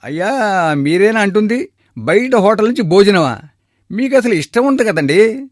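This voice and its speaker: A man voicing a deferential, flattering shop assistant in a story. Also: high-pitched, normal speed, no echo, clean studio sound. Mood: attentive. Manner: respectful, flattering. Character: deferential male shop helper, flattering and chatty.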